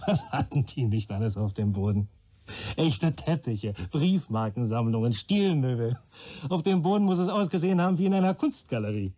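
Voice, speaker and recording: An actor playing a keen young police trainee in a German radio play, arguing in a wry, sardonic way. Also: male voice, medium pitch, expressive, moderate pace, dark tone, natural voice. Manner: wry, argumentative.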